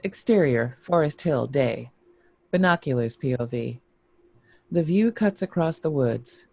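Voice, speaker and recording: Creaky, mellow male screenplay narrator. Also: on the high side, animated, a little slow, no room sound. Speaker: narrator reading stage directions.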